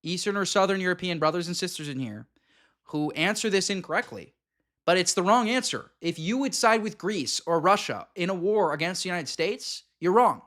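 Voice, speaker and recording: A man with a notably high voice hosts a live reaction stream. He talks bluntly and emphatically, breaking off for quick asides to his viewers. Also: a bit bright. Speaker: streamer commenting on a clip.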